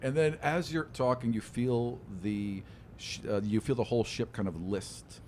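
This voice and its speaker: A man working as game master, narrating a tabletop game in a casual, halting, descriptive way. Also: mid-range voice, fairly even intonation, a little mellow. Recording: faint background noise.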